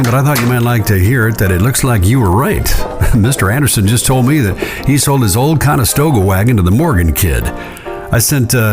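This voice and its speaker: Deferential, lively cowboy henchman played by a man. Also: medium pitch, natural voice. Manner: folksy, hesitant, respectful. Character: deferential, uneasy cowboy henchman reporting to his boss. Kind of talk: western audio drama acting.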